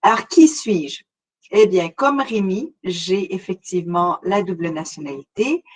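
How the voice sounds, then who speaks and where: A woman's voice: fairly low pitch, expressive, a little slow. A middle-aged woman from Montreal with a Québécois accent, presenting a webinar in a conversational way, speaking straight to her listeners with frequent hesitations. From Montreal, Canada. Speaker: webinar presenter, relocation consultant.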